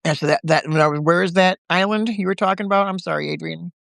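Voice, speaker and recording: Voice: mid-range voice, quick pace, gravelly, fairly bright tone, dry recording. Chatty laughing livestream host, dry sound. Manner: chatty, laughs often, rambling. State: recovering from a bad cold. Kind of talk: casual live-video chat. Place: at home in the countryside.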